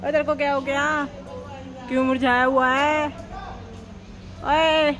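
A woman's voice: high voice, flat delivery, takes it slowly, fairly bright tone, soft background music. A woman in her thirties, a travel vlogger, recorded outdoors at night on a hand-held phone and talking playfully to a pigeon. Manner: coaxing, playful baby talk to a bird. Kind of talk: casual vlog narration and talking to a pigeon. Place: outdoors at night beside a parked tractor on a bus trip. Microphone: phone camera held by hand.

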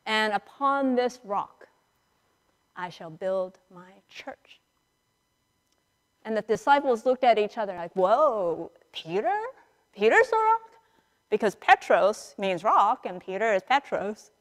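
A middle-aged woman priest giving a conversational storytelling sermon in a large church, acting out dialogue with dramatic pauses. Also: medium pitch, sing-song. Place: large church sanctuary, indoors, standing and moving before the altar. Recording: reverberant church hall, picked up in a large space.

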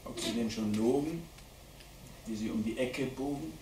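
A man giving a live dramatic poetry reading at a literary event, declaiming deliberately with strong shifts between hushed and loud delivery. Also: mid-range voice. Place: indoor literary event venue at a theatre. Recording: roomy live hall recording, distant mic, faint noise.

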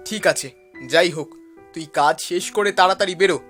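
A man's voice: sits high, brisk, fairly bright tone, faint music behind. A man playing an eager, chummy young man hosting old friends in a cartoon story. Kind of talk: cartoon voice acting.

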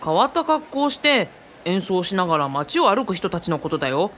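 A bright, forward voice from an actor playing an easygoing young companion in a radio drama. The character explains things in a casual, kindly way over faint background ambience. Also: medium pitch, very animated, fairly quick, slight background noise. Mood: explanatory.

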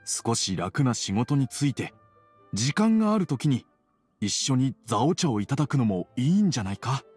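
An actor playing a reserved middle-aged father and merchant in an anime game, with measured, formal speech. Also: medium pitch, sing-song, a little mellow, breathy edge, soft background music. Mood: gentle, hesitant.

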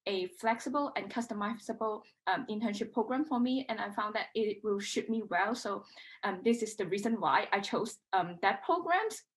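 A woman's voice: medium pitch, lively intonation, normal speed. Young woman on a video call, lots of ums, unsure. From Hong Kong. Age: young adult. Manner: hesitant, many ums. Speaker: intern.

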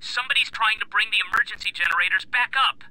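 An actor voicing an excitable, nerdy male hacker who gives fussy, urgent heist instructions in a video game. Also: high-pitched, quick pace, no room sound, low-quality recording.

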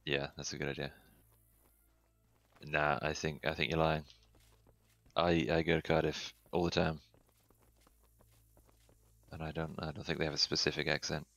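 A man in his thirties chatting casually on a headset while playing an online game, getting excited and swearing now and then. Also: very deep voice, normal speed, vocal fry, long pauses, no echo. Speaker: streamer. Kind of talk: casual gaming chat.

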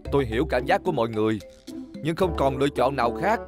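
A serious ranger truck, played by a man, speaks firmly at a middling pitch in a cartoon. He sounds grave and guarded, with clear music behind. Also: animated, fast talker, close to an everyday voice. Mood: stern. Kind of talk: cartoon dub.

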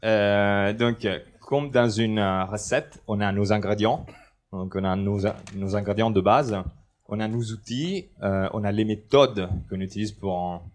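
Brief: man, 30s, lowish voice, easygoing hesitant conference presenter on a headset mic in a big hall. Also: unhurried. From Italy. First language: Italian. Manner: relaxed and joking, with many hesitations. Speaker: conference speaker. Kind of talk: presenting a technical talk. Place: large indoor conference hall, on stage.

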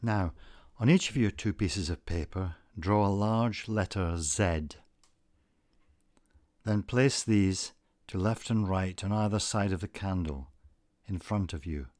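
A man with a low-pitched voice leads a guided meditation at a very slow pace. His delivery is calm, deliberate and scripted, with gentle instructions. The sound is close and dry. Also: no echo.

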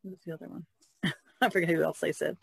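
A woman's voice: fairly low pitch, fairly even intonation, fairly quick, gravelly, slightly rough, no room sound. A woman co-hosting a podcast in casual, informal conversation. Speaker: podcast co-host, artist.

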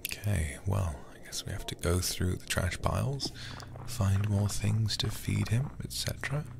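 A man streaming a game as ASMR, reading aloud and chatting softly in an intimate, soothing, breathy way, close to the microphone. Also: on the low side, animated, unhurried, noisy background. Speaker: livestreamer playing horror games.

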